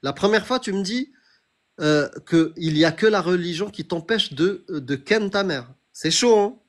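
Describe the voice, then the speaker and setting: A young man with a somewhat high voice hosts a debate, talking insistently and pressing his point. He challenges the other speaker again and again, calling him brother. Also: lively intonation, moderate pace. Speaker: host of a debate stream. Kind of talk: heated debate on religion.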